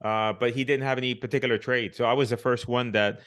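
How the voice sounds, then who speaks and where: A man's voice: medium pitch, steady tone, fast, a bit bright. A middle-aged man from Puerto Rico, a podcast guest, telling his life story in casual, reflective talk with frequent fillers and restarts. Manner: reflective, many restarts and "you know" fillers.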